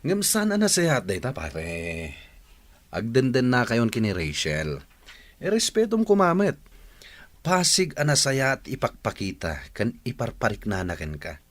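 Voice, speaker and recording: Man acting animated, admonishing Filipino buddy. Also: medium pitch, a little slow. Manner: advising, admonishing. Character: sensible young male friend, frankly reproachful. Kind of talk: radio drama acting.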